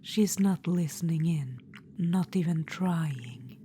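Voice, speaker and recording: A woman's voice: lowish voice, slow pace, a little hiss or hum. A woman whispering as a silky undead witch-queen. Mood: silky, coaxing. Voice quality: soft unvoiced whisper. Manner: silky and condescending, keeps calling the listener darling. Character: scheming undead witch-queen spirit, female, ancient, silky. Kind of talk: whispered ASMR audio roleplay.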